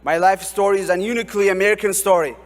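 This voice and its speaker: A man's voice: high-pitched, steady tone. Earnest young man. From Oromia, Ethiopia. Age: young adult. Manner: earnest, measured, formal. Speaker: student commencement speaker. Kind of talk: prepared commencement speech. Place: large ceremony hall. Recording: long hall reverb.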